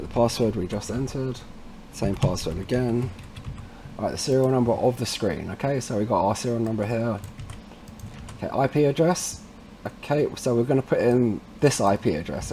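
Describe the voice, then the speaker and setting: Mellow man giving a casual tech tutorial. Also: medium pitch, slight background noise. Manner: informal, addresses viewers as guys. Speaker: tutorial presenter, installer trade. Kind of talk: casual step-by-step software tutorial.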